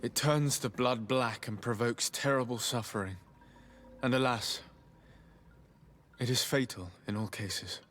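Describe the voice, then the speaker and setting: Game role: courteous noble legate male actor, formal, measured, middle-pitched, with ambience behind. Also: fairly bright tone, a little hiss or hum, close to an everyday voice. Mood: grave. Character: courteous young nobleman legate, composed and diplomatic leader. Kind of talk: video game cutscene acting.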